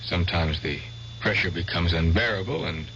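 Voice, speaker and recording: A patient, probing doctor in an old radio drama. The voice is very low yet bright and firm, with deliberate, grave phrasing. The recording is dull, with a faint hum. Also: male voice. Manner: deliberate, hesitant pauses, soothing reasoning. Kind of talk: 1970s radio drama acting.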